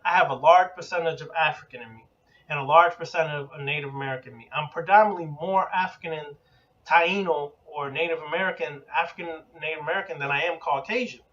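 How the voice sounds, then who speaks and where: A man's voice: on the high side, fast talker, a bit bright. A man of Puerto Rican heritage giving a blunt, profane lecture on occult practice, firing rhetorical questions and cursing for emphasis. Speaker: occult teacher. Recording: distant, muffled lo-fi recording.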